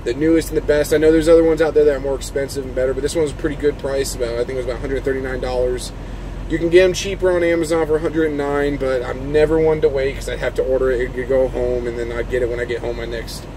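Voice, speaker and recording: A man with a mid-pitched voice talks quickly in a casual, rambling trucker vlog. He explains things informally, with clear vehicle noise humming behind him. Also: steady tone. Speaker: truck driver vlogger. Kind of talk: casual unscripted vlog talk. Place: truck parked at a truck stop.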